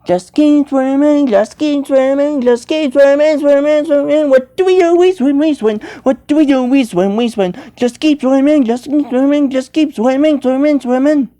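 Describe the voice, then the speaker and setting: A woman's voice: high voice, normal speed, few pauses, mellow, natural voice. A woman voicing a cheerful female cartoon fish in improvised play, with a repetitive, singsong delivery.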